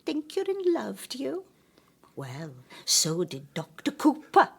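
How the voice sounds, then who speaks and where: An actress playing a spiteful, suspicious middle-aged woman in a radio drama, sharp, accusatory and breathy. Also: high-pitched, wildly swooping pitch, takes it slowly, heavily acted. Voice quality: husky, breathy, hissing. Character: spiteful, suspicious, scolding housekeeping sister, a woman.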